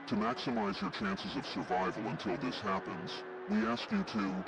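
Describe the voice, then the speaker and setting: A man plays a grave male emergency alert announcer. His delivery is official and measured, with wide swings in pitch and a gravelly edge. Loud noise runs behind the voice. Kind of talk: scripted emergency broadcast narration.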